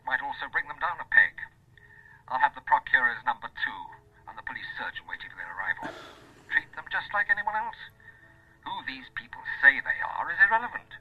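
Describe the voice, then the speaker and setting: Radio drama boss: tinny phone line, curt and unimpressed, varied inflection. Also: male voice, mid-range voice, sing-song, brisk. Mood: brisk, unimpressed. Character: curt, unimpressed male police superior. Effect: telephone filter. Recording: thin phone line, faint noise.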